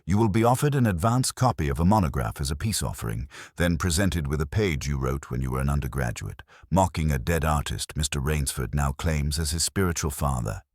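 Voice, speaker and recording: Man voicing a deep, commanding master detective. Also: lively intonation, a little fast, few pauses, dry recording, studio quality. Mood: stern, methodical. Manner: formal, precise, authoritative. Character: commanding, shrewd male master detective, formal and unhurried. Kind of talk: detective audiobook character voice.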